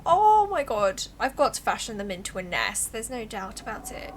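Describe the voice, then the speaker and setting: A woman's voice: on the high side, big pitch swings, normal speed, a little hiss or hum. A woman with a posh British accent livestreaming a game, commenting playfully and gushing as she reads along. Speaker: game streamer. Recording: background music behind voice.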